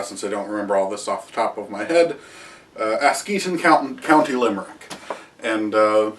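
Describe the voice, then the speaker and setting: A man in his thirties giving an unscripted history lecture to camera at home, pausing often with "uh" and restarting phrases. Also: on the low side, distant mic. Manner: halting, many "uh" fillers and restarts, gestures with both hands. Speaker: history video host. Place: small room at home with wall hangings and shields. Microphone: camera a few feet away.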